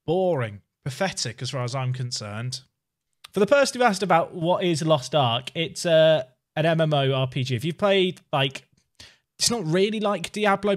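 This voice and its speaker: A man in his thirties chatting casually and commentating as he livestreams a game from a small room at home. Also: on the high side. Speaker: game streamer.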